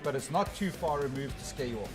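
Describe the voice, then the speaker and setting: A man's voice: mid-range voice, lively intonation, fast talker, over music. A man co-hosting a casual beer tasting show, playful and jokey as he presents.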